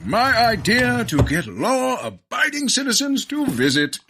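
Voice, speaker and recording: A man's voice: very high voice, very animated, unhurried, bright, forceful tone, a little hiss or hum, exaggerated voice. A man playing a jolly, pompous police commissioner and adventurer in a comedic audio drama, exclaiming grandly. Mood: pompous cheer.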